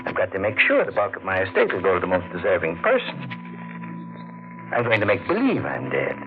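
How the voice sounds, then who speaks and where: A man's voice: low voice, wildly swooping pitch, brisk, vocal fry, music in the background, exaggerated voice. An actor playing an eccentric, domineering old rich man in a 1940s radio drama. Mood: scheming. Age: older. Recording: tinny old radio broadcast.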